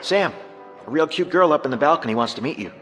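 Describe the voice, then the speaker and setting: An actor playing an eager, teasing teenage teammate in a true-crime podcast dramatization. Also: male voice, fairly high pitch, expressive, fast talker, a bit bright, long reverb tail, faint music behind, some chatter behind.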